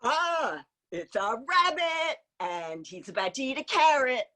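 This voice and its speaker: Playful, stubborn storybook contrarian played by a woman, drawn-out words and very swoopy intonation. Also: sits high, big pitch swings, sharp tone, voice pushed up, exaggerated voice. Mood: teasing, contradicting. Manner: teasing, drawn-out emphatic contradicting. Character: playful, stubborn picture-book contrarian insisting it's a rabbit. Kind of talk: animated children's read-aloud.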